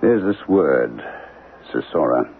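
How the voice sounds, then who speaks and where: Radio drama, troubled middle-aged professor: rock-bottom gravelly voice, brooding and terse, thin narrow-band broadcast sound. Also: male voice, extremely low pitch, lively intonation, slow, slight background noise, full character voice. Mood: grave, distant. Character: troubled, haunted archaeology professor, married man with grown children. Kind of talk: 1970s radio drama acting.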